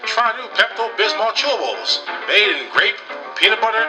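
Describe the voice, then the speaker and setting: A man's voice: high-pitched, very animated, moderate pace, poor audio. A man acting a salesy radio advert announcer over music. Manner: salesy, scripted. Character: male announcer in a spoof medicine commercial. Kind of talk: advert read.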